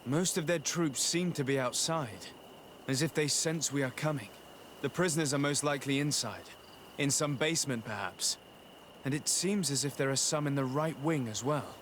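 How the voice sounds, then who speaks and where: An actor voices a composed nobleman who plans and negotiates in a video game. His speech is formal and measured, at a medium male pitch. Ambient noise runs behind him. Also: fairly bright tone, natural voice. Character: composed young noble diplomat and tactician.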